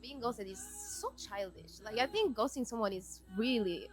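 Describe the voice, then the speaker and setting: A woman's voice: on the high side, very animated, normal speed, faint music behind. A woman chatting casually as a podcast guest, frank and emphatic in the way she talks. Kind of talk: casual chat.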